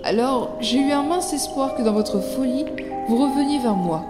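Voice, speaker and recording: A woman's voice: high voice, expressive, over music. Woman acting a firm, resolute mother, reverberant. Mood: reproachful. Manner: deliberate, firm. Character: resolute, stern, protective mother in clandestine revolt. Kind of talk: French audio drama acting.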